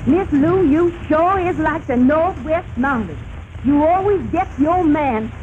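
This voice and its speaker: Obliging radio-comedy maid, folksy and high-pitched, muffled vintage recording. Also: female voice, expressive, unhurried, slight background noise. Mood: eager, obliging. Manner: folksy dialect, deferential. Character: eager, deferential maid to a saloon dame. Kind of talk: 1930s radio comedy acting.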